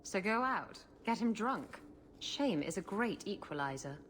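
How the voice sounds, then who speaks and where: A woman's voice: mid-range voice, sing-song, unhurried, a little hiss or hum. A woman playing a taunting, flirtatious and impatient pirate with a mocking drawl in a video game cutscene. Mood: goading.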